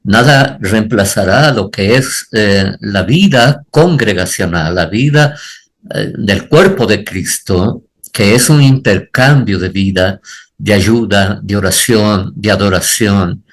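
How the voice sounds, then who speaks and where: An older pastor speaks in a lowish voice. He answers earnestly and circles back, repeating phrases to press a point home. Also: slow pace. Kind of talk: panel discussion answers.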